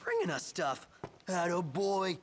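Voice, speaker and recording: An actor playing an upbeat, curious young man, chatty and eager with a breathy voice, in casual video game dialogue. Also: sits high, huge pitch swings, unhurried, exaggerated voice. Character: upbeat, boyish, curious young male travelling companion.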